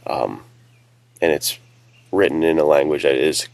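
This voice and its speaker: A man's voice: very deep voice, a little slow, gravelly, a little mellow, no room sound. A man co-hosting a podcast, speaking in a casual, conversational way. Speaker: podcast co-host and writer.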